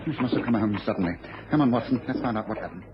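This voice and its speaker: An actor playing a shrewd, composed British detective in a mid-century radio drama, deliberate and authoritative. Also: male voice, on the low side, close to an everyday voice. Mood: grave, brisk. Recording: muffled, noisy old radio broadcast.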